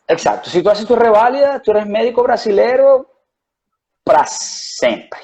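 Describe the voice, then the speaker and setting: A man's voice: high-pitched, sing-song, measured pace, a little mellow. A man with a Venezuelan accent, a guest doctor explaining things in a rambling way with many restarts and fillers. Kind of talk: conversational interview.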